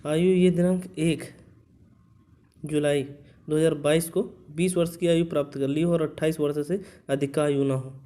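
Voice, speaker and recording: A man's voice: a little high, dark tone. A man hosting an exam coaching lesson, informally explaining as he reads through a notice, with frequent short check-in words. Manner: conversational, checks in with repeated short tag words. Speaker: exam coaching instructor and channel host.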